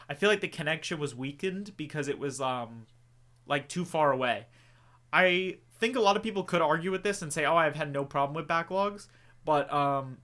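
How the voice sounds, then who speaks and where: A man chatting to camera in a relaxed, rambling way, answering viewer questions with joking asides. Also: a little high. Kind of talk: casual Q&A chat to camera.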